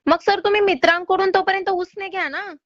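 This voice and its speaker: A woman's voice: very high voice, fairly even intonation, fairly quick, fairly bright tone, no echo. A woman making a loan recovery call in an insistent, polite but exasperated manner. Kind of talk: phone collection call. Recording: slightly dull phone-call audio.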